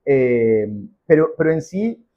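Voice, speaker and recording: A man's voice: a little high, sing-song, slow. A man with a Venezuelan accent answering as an interview guest, explaining emphatically in a conversational way and repeating phrases. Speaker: podcast guest, business professional. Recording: muffled, phone-like narrow band.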